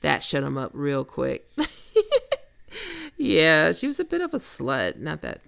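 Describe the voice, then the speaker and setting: Woman acting a very creaky, teasing flirt. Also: sits low, wildly swooping pitch, fairly bright tone, dry recording, full character voice. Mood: playful teasing. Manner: casual, teasing, unhurried. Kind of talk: intimate ASMR roleplay acting.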